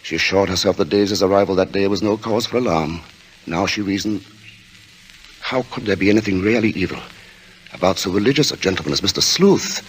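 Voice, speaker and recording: A man narrating a suspense story in 1940s radio style, grave and deliberate, with dramatic pauses. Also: low-pitched, slight background noise, close to an everyday voice, poor audio. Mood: ominous, tense. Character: grave storyteller of a creeping murder mystery.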